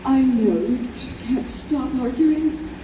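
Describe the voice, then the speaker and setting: A woman's voice: sits high, expressive, slow pace. A woman playing an ailing, tender-hearted mother with a weak, coughing delivery in an old time radio drama. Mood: tender, dying. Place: convention hall stage. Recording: echoey hall, distant, noisy.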